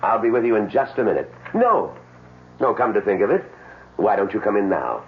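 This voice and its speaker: An actor in an old radio drama playing a suave, unruffled male healer with a measured, gently needling manner. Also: lowish voice, big pitch swings. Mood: welcoming. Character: suave, unruffled healer treating patients by mind power. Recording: dull, noisy old radio recording.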